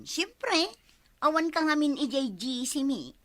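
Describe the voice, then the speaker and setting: A woman's voice: high voice, big pitch swings, slow, a bit bright, exaggerated voice. A woman playing a boastful, strong-willed village wife in a radio comedy drama, brash and emphatic, with an Ilocano accent. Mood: bossy.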